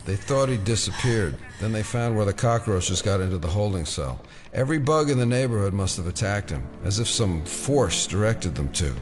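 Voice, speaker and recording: A man's voice: lowish voice, animated, normal speed, few pauses, lightly acted. An actor playing a wry, concerned male FBI agent in an audio drama. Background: loud dramatic music.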